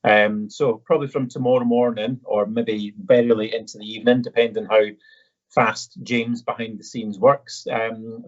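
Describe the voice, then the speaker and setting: A man with an Edinburgh Scottish accent presenting a casual live question-and-answer session, polite and conversational with a hesitant delivery. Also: on the low side. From Edinburgh, Scotland. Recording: dull, slightly muffled audio.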